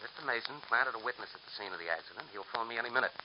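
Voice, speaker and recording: A man's voice: mid-range voice, racing, close to an everyday voice. Man as a pushy persuasive newsman, 1940s radio drama, lo-fi, faint hiss. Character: eager fast-talking male newspaper reporter. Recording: dull lo-fi old radio broadcast.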